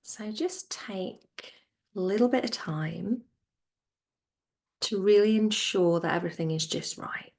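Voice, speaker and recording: Slow, soft-spoken woman guiding relaxation. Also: mid-range voice. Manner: soothing, gentle, unhurried with pauses. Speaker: yoga nidra teacher. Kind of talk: guided relaxation instruction.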